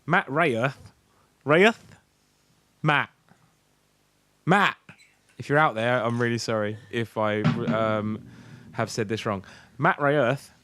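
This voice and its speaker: A man's voice: medium pitch, takes it slowly, pauses often, pushed and bright. A tipsy British man co-hosting a podcast in casual, sweary, rambling comic banter. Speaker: podcast co-host.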